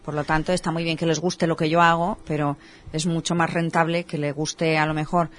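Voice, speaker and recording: A woman's voice: low-pitched, little pitch movement, a little fast, a little hiss or hum. A woman with a Spanish accent from Spain, hosting a casual radio interview and giving everyday examples. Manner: conversational, gives everyday examples. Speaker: radio show host.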